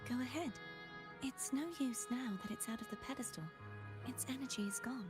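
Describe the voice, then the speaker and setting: Soft, high-voiced solemn maiden played by an actress. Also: female voice, fairly even intonation, fairly quick, natural voice. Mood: calm, matter-of-fact. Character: solemn, soft-spoken young holy maiden in fantasy game. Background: game music.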